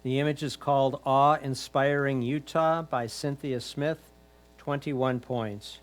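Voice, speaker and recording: A man's voice: mid-range voice, steady tone, takes it slowly. A man reading out titles and scores as a competition announcer, in a formal announcing style.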